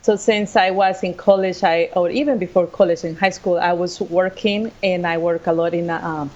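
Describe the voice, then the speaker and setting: A woman's voice: fairly low pitch, fairly even intonation, normal speed, highs cut off. A woman with a Costa Rican Spanish accent, a native Spanish speaker, telling her own story conversationally as an interview guest. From Costa Rica. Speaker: guest, assistant professor of sports management.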